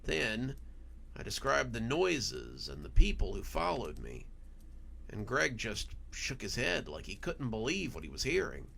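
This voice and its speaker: A man's voice: medium pitch, wildly swooping pitch, measured pace, creaky, pushed and bright, slight background noise. A man narrating a scripted first-person horror story as its storyteller.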